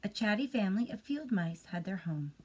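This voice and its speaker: A woman reading a children's bedtime story as an expressive storyteller. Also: mid-range voice, a little fast, husky.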